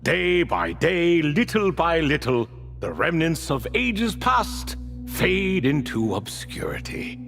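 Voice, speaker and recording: An actor playing a proud, wry game figure who speaks in a measured, dry, boastful way. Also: male voice, a little high, very animated, vocal fry, fairly bright tone, heavily acted. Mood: solemn. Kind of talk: video game voice acting. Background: game music.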